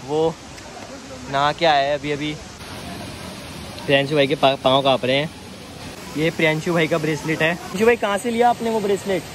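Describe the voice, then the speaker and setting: A young man in his twenties filming a casual trail vlog on his phone and ribbing his friends as he walks. His voice sits fairly high. Rushing water and outdoor noise come through clearly on the phone mic. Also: fairly even intonation. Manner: teasing, playful joking with friends. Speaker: vlogger. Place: outdoors on a hillside trail by a stream.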